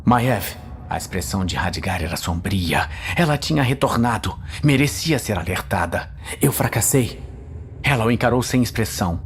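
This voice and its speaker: A man's voice: on the low side, lively intonation, brisk, hardly any pauses, slight background noise. A man with a Brazilian accent narrating a scripted fantasy audio series in a dramatic style.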